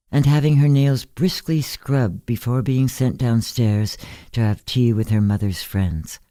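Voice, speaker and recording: A woman reading a literary story as an audiobook narrator, in a measured, unhurried manner. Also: rumbling low, mellow, dry recording. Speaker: story reader.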